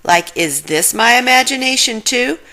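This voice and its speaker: Casting: woman playing young male cartoon slacker, cowardly and exclamatory, swooping pitch, gravelly edge. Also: animated, normal speed, sharp tone. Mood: puzzled. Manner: peppers talk with "like", exclaims.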